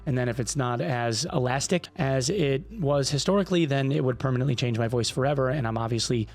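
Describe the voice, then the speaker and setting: A man with a raspy, hoarse voice, hosting casual gaming commentary in a loose, conversational way with frequent fillers. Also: mid-range voice, a little fast, darkish tone. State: raspy, hoarse voice from a vocal fold polyp. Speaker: gaming YouTuber host.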